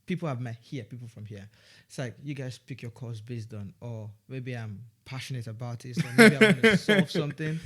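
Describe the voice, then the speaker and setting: A man's voice: mid-range voice, animated, brisk, few pauses, fairly bright tone. Young Nigerian man chatting in a rambling way. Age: young adult. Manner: rambling, many restarts and fillers. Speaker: student guest. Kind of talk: casual podcast chat. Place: podcast studio.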